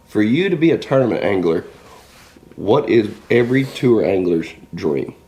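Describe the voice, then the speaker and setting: Male co-host, low voice with animated pitch, agreeable, casual. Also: measured pace. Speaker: podcast co-host. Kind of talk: casual podcast chat.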